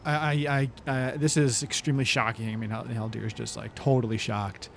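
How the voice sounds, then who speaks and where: A man's voice: mid-range voice, fairly even intonation, fairly quick, gravelly, fairly bright tone, a little hiss or hum. A man playing a tabletop game, chatting casually and searching for his words as he goes. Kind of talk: casual chat.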